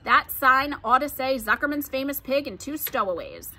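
Woman as a bright-voiced bossy old sheep. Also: high voice, expressive, normal speed, heavily acted. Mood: dry. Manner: commanding, urgent advice.

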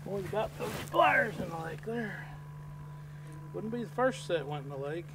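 A man's voice: sits high, normal speed. Folksy middle-aged man fishing from a boat. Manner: folksy, excited bursts while landing fish. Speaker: fishing vlogger. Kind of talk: casual fishing commentary. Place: small boat on open lake, outdoors. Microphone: wide-angle camera mounted at the boat's stern. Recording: outdoor boat recording with wind and water noise.

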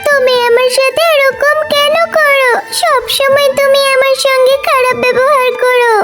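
A woman's voice: extremely high pitch, flat delivery, normal speed, music in the background. A woman voicing a whiny, pleading little animal girl in a children's cartoon. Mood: whining, upset.

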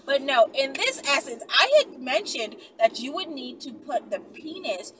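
Young female vlogger, higher-pitched, chatty storyteller; recorded in a car with clear background noise. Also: a little slow. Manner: animated, conversational, frequent checking tags like "right?". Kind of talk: casual chatty storytelling to viewers. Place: inside a car in daylight. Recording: distant mic, noisy car interior.

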